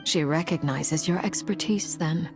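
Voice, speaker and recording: A woman's voice: deep voice, a little slow, over music. A woman playing a gracious, composed lady in a video game, speaking formally. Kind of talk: video game dialogue acting.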